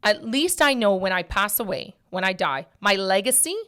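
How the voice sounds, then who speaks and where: A woman's voice: medium pitch, lively intonation, moderate pace, pushed and bright. A woman in her early forties talking casually and directly to listeners as a host, blunt and emphatic, often repeating phrases for stress. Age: early 40s. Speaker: podcast host and mortgage brokerage owner.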